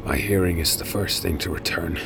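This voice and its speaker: Man narrating an audio drama, very low voice, gravelly texture, terse and tense, first person, music under it. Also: brisk.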